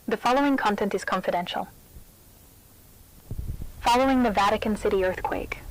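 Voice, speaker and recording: A woman's voice: mid-range voice, close to an everyday voice. A woman playing a military institute narrator, reading a scripted confidential briefing in a formal, clinical manner. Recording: faintly noisy briefing tape.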